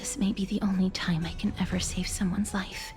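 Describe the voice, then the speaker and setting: Girl voice actor as serious resolute heroine, mid pitch, formal n earnest, soft music behind her. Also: level tone, a little fast, whispered, close to an everyday voice. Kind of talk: dramatic video game voice acting.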